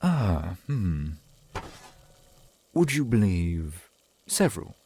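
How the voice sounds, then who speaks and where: A man playing a courtly, adoring centuries-old vampire boyfriend in an ASMR roleplay, speaking in formal, old-fashioned phrases with a husky voice. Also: low-pitched, very animated, drawn-out words, mellow, a little hiss or hum, heavily acted. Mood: wry, reminiscing. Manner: formal, archaic, genteel phrasing.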